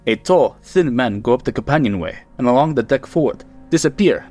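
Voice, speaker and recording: An actor playing a frightened, urgent ship's mate in an old-time radio play. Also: male voice, mid-range voice, a little fast, faint music behind, natural voice. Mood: alarmed. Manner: urgent, excitable.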